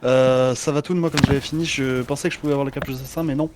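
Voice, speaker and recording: A man's voice: mid-range voice, steady tone, racing, background noise. A young man chatting casually with friends over a gaming voice call, explaining how to get gear and restarting his sentences often. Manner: explains game mechanics, many restarts. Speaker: gamer in voice chat.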